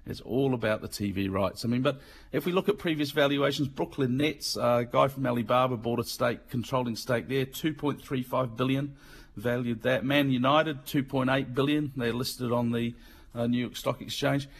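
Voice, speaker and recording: A man's voice: mid-range voice, normal speed, slight background noise. A middle-aged man from England with a Mancunian accent, giving a chatty business and markets segment on the radio and explaining things in plain words. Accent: Mancunian English. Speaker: financial markets commentator.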